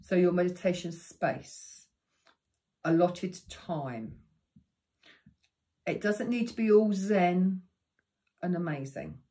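A woman in her sixties with a croaky voice, giving practical meditation tips to the camera in a chatty, unhurried way from her living room. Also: lowish voice, animated, warm tone. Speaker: meditation teacher. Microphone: camera in front of her.

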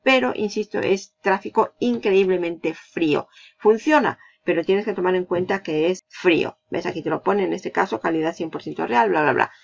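A woman in a lowish voice walks a viewer through a screen-recorded tutorial, chatty and explanatory. She repeats her points for emphasis. The voice-over sounds a bit dull and lo-fi. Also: normal speed, vocal fry. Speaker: online marketing tutor. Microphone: voice-over over screen capture.

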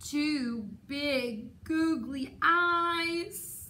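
A woman's voice: high-pitched, very slow, pushed and bright. A young woman teacher reading a picture book aloud to children in a dramatic, sing-song way, recorded in a classroom from a camera a few metres away. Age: young adult. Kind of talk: children's storytime read-aloud. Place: indoor classroom, sitting on carpeted floor.

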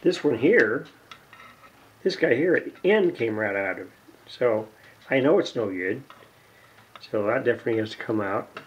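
A man giving unscripted, casual narration of hands-on repair work, halting and muttering to himself as he goes. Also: mid-range voice, lively intonation, husky, highs cut off. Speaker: hobbyist radio repairer, video host. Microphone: camera mic near the workbench.

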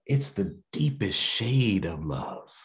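Man as a slow, gentle storybook spirit. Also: low voice, very animated, full character voice. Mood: soothing. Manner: slow, soothing, lilting. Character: gentle, reassuring heart spirit that soothes a child.

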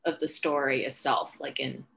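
A woman's voice: rumbling low, little pitch movement, normal speed, creaky, fairly bright tone, a little hiss or hum. A middle-aged woman moderating an online question-and-answer session as host, on a video call with a headset. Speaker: host, writer and director. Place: indoor room, video call. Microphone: video call, wearing headset.

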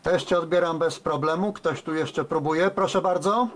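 A man talking as a radio operator in a halting, conversational CB contact. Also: on the high side. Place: indoors at a desk with radio sets. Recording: very noisy, radio hiss and clicks.

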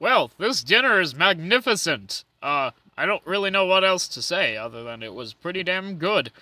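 A man's voice: high-pitched, very animated, normal speed, sharp tone, dry recording, full character voice, rough recording. A man voicing a flustered, foul-mouthed showman in a comic cartoon fandub, blustering theatrically. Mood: pleased.

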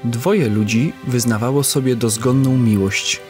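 A man narrating an audio drama in a measured storytelling manner. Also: mid-range voice, a little mellow, soft background music.

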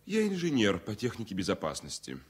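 An actor playing a romantic, reserved engineer in a radio drama, polite and a little hesitant. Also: male voice, fairly low pitch, wildly swooping pitch, brisk, breathy edge, heavily acted. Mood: polite, curious. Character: romantic, reserved male safety engineer in love. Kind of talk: Soviet radio drama acting.

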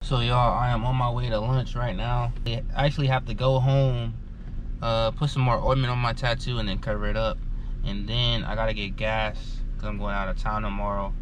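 A young man in his twenties, a barber student, talking casually to the camera from his car in a relaxed, rambling, tired way. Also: mid-range voice, moderate pace. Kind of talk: casual vlog talk to camera. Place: inside a car. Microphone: action camera on the dashboard. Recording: muffled, loud car and street noise. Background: car and traffic noise.

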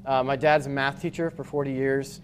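A man from New England giving a conference talk with slides, explaining step by step with frequent pauses and restarts. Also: medium pitch, level tone, slight background noise. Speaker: conference speaker. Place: hotel conference room with projector screen.

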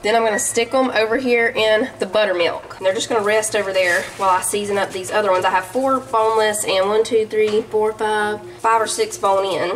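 A woman's voice: mid-range voice, fairly even intonation, fairly quick, few pauses, slight background noise, low-quality recording. A woman with a Southern US accent, chatting casually in a folksy way as she narrates a home cooking vlog. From Southern United States. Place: home kitchen.

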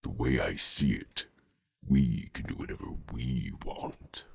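A man voicing a creepy, menacing monster with a throaty, guttural wobble, slowly, through a digital pitch-lowering and bass-boosting effect. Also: expressive, vocal fry, husky, no room sound, studio quality, soft background music, heavily acted. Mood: creepy menace. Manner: slow, creepy bass delivery.

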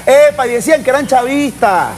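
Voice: high voice, fairly quick, a little mellow, slight background noise. A Venezuelan reporter on a political show, discussing off the cuff and insistently repeating points for emphasis.

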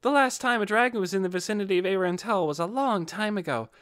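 A man voicing a quick-tongued, chatty young adventurer in a fantasy audiobook. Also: sits high, a bit bright, no echo, studio quality, voice pushed up. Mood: earnest explaining.

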